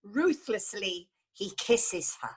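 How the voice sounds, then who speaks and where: A woman's voice: mid-range voice, animated, takes it slowly, slightly rough. A woman narrating stage directions in a scripted play reading.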